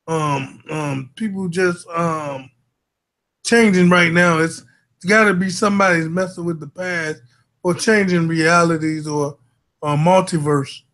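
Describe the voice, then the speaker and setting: A man's voice: high voice, fairly bright tone. A man hosting a casual chat, talking in a loose, slangy way and repeating himself often. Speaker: host.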